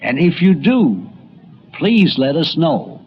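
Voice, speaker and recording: A man quoting a formal 1920s radio announcer with deliberate delivery. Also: on the high side, big pitch swings, dull audio, a little hiss or hum. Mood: formal, courteous.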